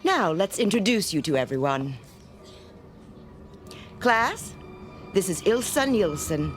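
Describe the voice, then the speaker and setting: An actress playing a prim, self-righteous, domineering schoolteacher in a radio drama, speaking precisely and with condescension. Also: female voice, mid-range voice, sing-song, slow pace, soft background music. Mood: insistent. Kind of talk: vintage-style radio drama acting.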